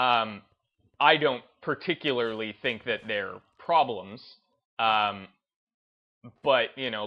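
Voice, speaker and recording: A man's voice: mid-range voice, muffled recording. A man giving unscripted opinion commentary in a hesitant, rambling way, often restarting his phrases. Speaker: host of a gaming video channel.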